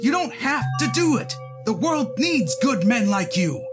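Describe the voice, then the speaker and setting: A man's voice: very high voice, big pitch swings, a little slow, full character voice. A man playing an earnest, pleading psychiatrist with a dramatic, urgent manner in a comic book dub. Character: earnest, pleading male psychiatrist, desperate and scheming. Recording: loud music bed behind the voice.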